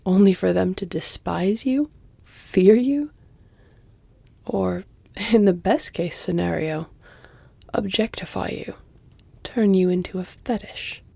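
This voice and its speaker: Woman acting a centuries-old female vampire, audio roleplay, dark mellow tone, very close dry sound, measured, teasing, breathy near-whispers. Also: medium pitch, no echo, heavily acted. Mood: grave, challenging. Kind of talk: intimate audio roleplay, scripted dramatic acting. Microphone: close, dry studio-style mic.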